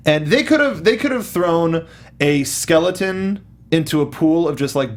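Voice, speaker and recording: A man's voice: fairly high pitch, animated, moderate pace. A man co-hosting a casual movie review chat in a joking, sarcastic, sometimes profane way. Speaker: podcast co-host.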